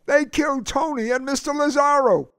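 A man's voice: very high-pitched, moderate pace, a bit bright, no room sound, clean studio sound, voice pushed up. A man reading an audiobook, voicing a young man who is urgent and pleading.

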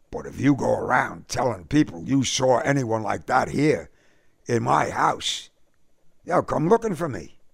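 A gruff, streetwise older brother, defensive and blunt, as a male narrator voices him. His speech is gravelly and lively in pitch. It sounds dry and close to the mic. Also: no echo, full character voice.

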